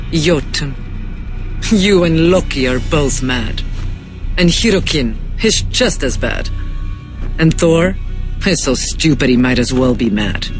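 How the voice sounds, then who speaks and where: An actor playing a proud, wry, aging giant leader, speaking in a measured, formal, theatrical way in a video game. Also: male voice, mid-range voice, lightly acted. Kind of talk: dramatic video game cutscene acting.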